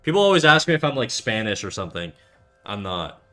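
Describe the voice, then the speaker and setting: Young man, from Canada, livestream chat, bright voice, rambling, swears a lot, faint music behind. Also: lowish voice, big pitch swings, fast talker. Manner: rambling, swears often, many fillers and restarts. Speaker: art streamer. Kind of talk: casual livestream chat reading chat aloud.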